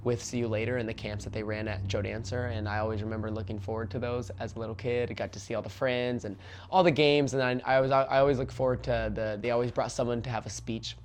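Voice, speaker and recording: A man's voice: mid-range voice, steady tone, a little hiss or hum. A young man from Oregon who coaches youth soccer, telling his story in a casual, earnest interview with plenty of fillers and restarts. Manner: earnest, fast talker, many fillers and restarts.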